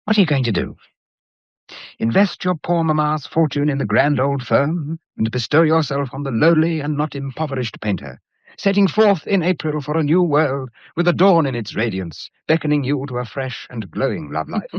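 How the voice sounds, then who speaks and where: An actor playing a wry, self-deprecating English painter in a radio drama, with a breezy, clipped way of talking. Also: male voice, on the high side, lively intonation, normal speed, dry recording. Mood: teasing, courting. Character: wry, self-deprecating painter and amateur sleuth, teasing suitor. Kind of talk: mid-century radio drama acting, conversational. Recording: dull, muffled vintage radio recording.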